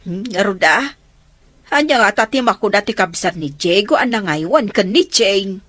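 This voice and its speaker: An actress playing an exasperated mother in an Ilocano radio drama, reproachful and expressive. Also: mid-range voice, moderate pace, no echo. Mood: exasperated, scolding. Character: exasperated, scolding mother of grown daughters.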